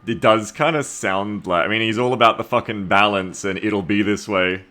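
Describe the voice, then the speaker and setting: A man's voice: lowish voice, normal speed, pushed and bright. A man chatting casually as a game streamer, blunt and joking with some swearing.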